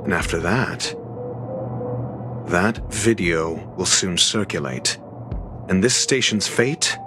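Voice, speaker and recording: A man voicing a haughty, intellectual scholar in a video game, with a pompous, articulate and exacting manner. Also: low-pitched. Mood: grave disdain. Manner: pompous, measured, articulate, erudite. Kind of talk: scripted video game voice acting. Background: background music.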